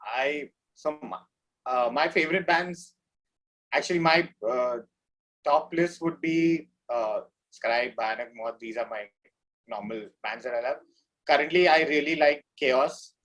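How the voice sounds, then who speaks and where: A man with an Indian accent and a voice slightly higher than average talks casually as an interview guest. He is a band musician, loose and slangy, and tends to ramble as he thinks aloud. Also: unhurried, fairly bright tone, low-quality recording. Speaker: drummer in a death metal band, interview guest.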